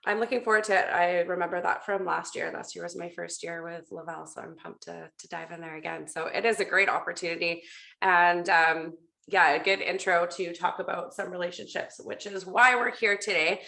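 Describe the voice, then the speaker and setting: A woman's voice: on the low side, fairly even intonation, fairly quick, gravelly, pushed and bright. A woman hosting a casual group call, talking in an upbeat, chatty and conversational way. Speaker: host of a team video call.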